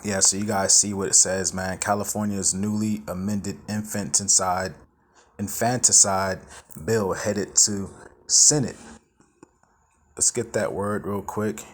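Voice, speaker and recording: A man teaching from scripture in a loose, halting way, reading verses slowly and adding casual asides. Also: lowish voice, steady tone, slight background noise. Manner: drawn-out scripture reading with filler asides like "all right" and "man"; halting, repeats words while searching for them. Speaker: Bible teacher.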